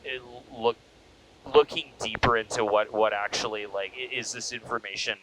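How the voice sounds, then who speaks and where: A man hosting a casual interview, talking in a halting, rambling way with repeated false starts. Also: on the low side, fairly even intonation, a crowd in the background, rough recording. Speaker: podcast host, interviewer. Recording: noticeable background noise.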